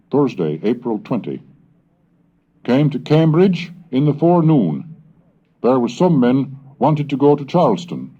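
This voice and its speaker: A man giving a measured, deliberate reading of an old diary aloud, slowing on the dates. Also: mid-range voice, dark tone, slightly rough. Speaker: reader of historical texts. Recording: dull lo-fi 1970s cassette recording.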